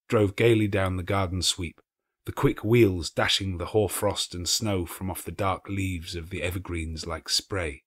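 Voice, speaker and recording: A man reading a classic novel aloud as an expressive storyteller. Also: deep voice, no echo. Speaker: audiobook reader.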